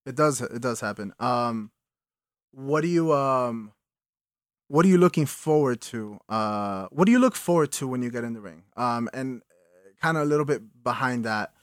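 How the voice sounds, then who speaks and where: A man's voice: medium pitch, moderate pace, no room sound, clean studio sound. A man interviewing a guest, asking long, hesitant questions full of "um" and "uh". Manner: hesitant, filler-heavy questions.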